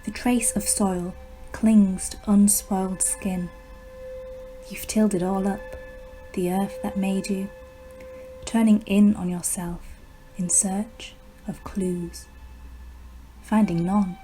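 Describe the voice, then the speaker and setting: A woman performing a poetic radio-drama monologue as a sinister, obsessive plant whisperer, speaking in a hushed, deliberate, dramatic way with lingering pauses. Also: mid-range voice, fairly even intonation, soft background music, lightly acted, poor audio. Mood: tender, eerie.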